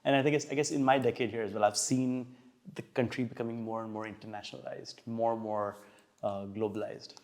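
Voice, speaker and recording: A man's voice: on the low side, fairly even intonation, fairly quick, darkish tone. A man hosting a podcast, chatting casually as he puts questions to his guest. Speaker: podcast host, interviewer. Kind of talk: casual interview chat.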